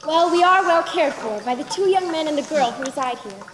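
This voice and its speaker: Young girl playing a wise talking bird, very high voice. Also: expressive, brisk. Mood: courteous. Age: young teen. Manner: declamatory stage delivery. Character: wise talking bird, proud sultan of the birds. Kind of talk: school stage play storytelling. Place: school play stage in a large hall. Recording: echoey hall from far off, loud noise.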